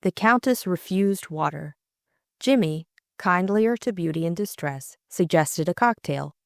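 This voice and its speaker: A woman's voice: lowish voice, expressive, a little slow, gravelly, no echo, studio quality. A woman reading a story aloud as an audiobook narrator.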